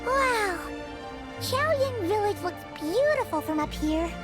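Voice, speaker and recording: Tiny childlike game mascot: piercingly high, very lively pitch, cheeky and money-minded. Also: female voice, slow pace, loud music behind the voice, full character voice. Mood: excited. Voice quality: squeaky, childlike. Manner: speaks of herself in third person, exclamatory. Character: tiny childlike floating fairy companion, cheeky, money-loving. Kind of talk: video game character acting.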